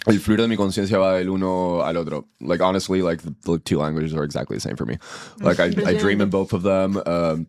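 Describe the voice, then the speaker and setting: A young man with an Argentine Spanish accent and a low, deep voice talks through his column. He stumbles through fillers and restarts as he explains. The tone is casual and conversational. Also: a little mellow. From born in Guatemala, raised in the US and Argentina. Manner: halting, many fillers and restarts. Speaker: show columnist.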